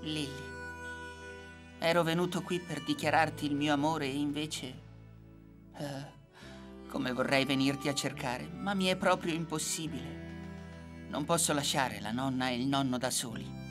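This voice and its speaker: A woman voicing a grumbling but soft-hearted male cyborg cat in a cartoon dub, expressive and self-pitying. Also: deep voice, normal speed, long pauses, music in the background, lightly acted. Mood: lovelorn, regretful. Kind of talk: Italian cartoon dub acting.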